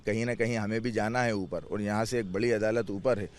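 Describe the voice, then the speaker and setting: A man's voice: fairly low pitch, fairly even intonation, fairly quick. A man chairing a clerics' board answers interview questions earnestly, restarting words and repeating phrases.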